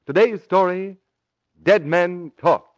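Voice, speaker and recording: A man's voice: sits high, takes it slowly, no room sound. A man announcing in a formal, emphatic, dramatic old-time radio style from a script.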